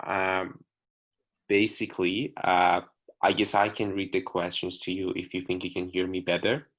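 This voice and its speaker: A man's voice: deep voice, little pitch movement, moderate pace, fairly bright tone, poor audio. A young man casually asking questions as an interviewer.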